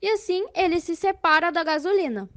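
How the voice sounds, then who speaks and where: A woman's voice: very high voice, fairly quick, a bit bright. A woman with a Brazilian accent voicing a patient female inspector who explains step by step in a scripted educational cartoon. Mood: explanatory.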